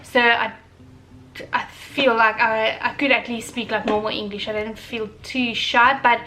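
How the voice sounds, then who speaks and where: Young woman, South African, Afrikaans accent, slightly high voice, easygoing vlog chat with fillers, light music bed. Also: unhurried, poor audio. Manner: relaxed and reflective, with many fillers and restarts. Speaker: vlogger. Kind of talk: casual chat.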